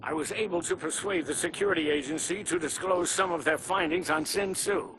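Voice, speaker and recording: An actor playing an earnest ally and informant to a hero in a video game, measured, serious and concerned. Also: male voice, sits high, noisy background, close to an everyday voice. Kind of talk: video game dialogue acting.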